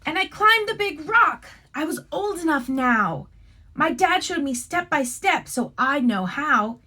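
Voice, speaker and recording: A woman reading a picture book aloud to young children like a teacher, with expressive, deliberate phrasing and friendly asides. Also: high-pitched.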